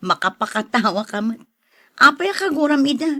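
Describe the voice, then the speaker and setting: A woman's voice: on the high side, lively intonation, a little slow, pushed and bright, heavily acted. A woman playing a sociable neighbor in an Ilocano audio drama, chatty and good-humored, with an Ilocano accent. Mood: amused.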